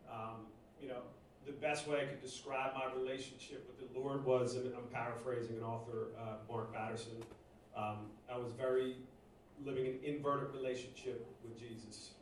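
Guy telling his church story, nervous, lots of ums, boomy room, little hiss. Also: steady tone. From Northeast Philadelphia, US. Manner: nervous, halting, many fillers and restarts. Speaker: church member giving testimony. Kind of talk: personal testimony to a congregation. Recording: echoey room, distant mic, faint noise.

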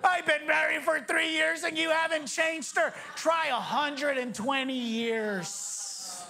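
A man in his forties preaching a sermon as pastor, energetic, joking and firing off rhetorical questions. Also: very high voice, slow, vocal fry. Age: 40s.

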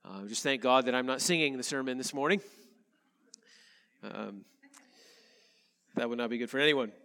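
A male pastor, a little nasal from a head cold, reading scripture and preaching in an earnest, measured way. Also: medium pitch, sharp tone, clear, resonant tone, in a large hall. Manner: earnest, measured preaching with slow emphatic phrases. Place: church sanctuary.

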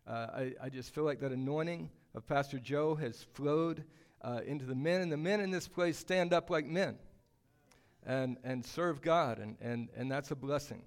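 A man speaking as a guest missionary at church, greeting the congregation in a halting way with many uhs and repeated words. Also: medium pitch.

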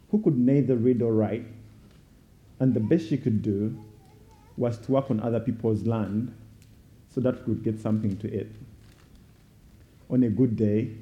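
A man in his thirties with a Ugandan accent, telling a prepared personal story to a congregation in a deliberate, oratorical way. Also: medium pitch. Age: 30s. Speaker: guest speaker at a church. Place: speaking before a live congregation. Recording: dull, muffled sound.

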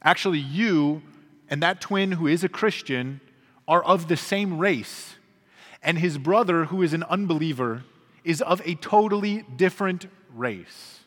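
A man preaching with earnest emphasis, his voice sitting a little high for a man. His phrases rise with force and then drop low at their ends. A long church reverb trails every line. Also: measured pace, fairly bright tone. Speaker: pastor. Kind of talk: sermon. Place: church sanctuary.

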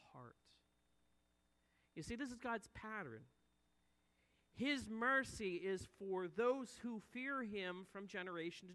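A man in his forties delivers a sermon in an earnest, high and bright voice. He swells for emphasis and then sinks low and soft at the ends of phrases. His voice rings out with a long reverb in a church sanctuary. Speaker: preacher.

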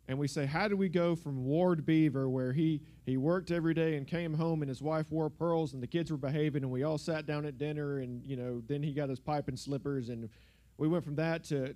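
Young guy preaching, laid back and jokey, mellow warm voice, handheld mic in church. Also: medium pitch. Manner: joking, conversational, uses filler words like "like" and "y'all". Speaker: guest preacher. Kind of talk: casual sermon. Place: church sanctuary. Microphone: handheld microphone.

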